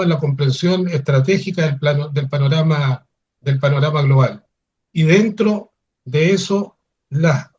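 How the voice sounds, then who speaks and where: A man hosting an interview, giving a formal, measured introduction and then asking questions. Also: on the high side, darkish tone, breathy edge. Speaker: interview host. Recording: dull lo-fi sound.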